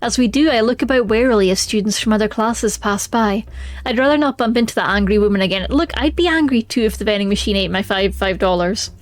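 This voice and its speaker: A woman's voice: medium pitch, quick pace. A woman streaming a game, chatting expressively and reading the story text aloud. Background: faint music.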